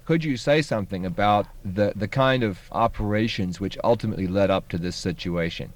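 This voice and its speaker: A man's voice: fairly low pitch, brisk, natural voice. A man playing an awkward, polite radio interviewer with a formal, stammering manner in a comedy sketch. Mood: polite, earnest. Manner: hesitant, stammering, formal, circuitous.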